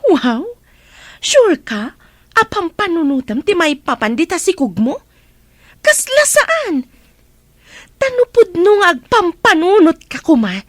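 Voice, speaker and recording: A very high-pitched young Filipino woman, blunt and exasperated. She scolds in wildly animated radio-drama tones, recorded close and dry. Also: slow pace, pauses often, no echo, heavily acted. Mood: exasperated, scolding. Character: blunt, scolding young female best friend, shrill and exasperated.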